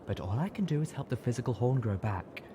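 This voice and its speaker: Man playing a measured, poised older mare. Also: mid-range voice, expressive, noisy background, lightly acted. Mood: cautioning. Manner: careful, measured, slightly snarky.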